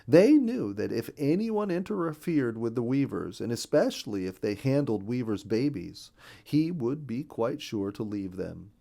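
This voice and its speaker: A male storyteller reads a children's animal story with a gentle, expressive delivery. His voice is mellow rather than bright, and his pitch rises and falls a great deal while it stays in a middle range. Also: unhurried, gravelly. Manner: gentle, expressive reading with a lot of rise and fall. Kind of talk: scripted storybook narration for children.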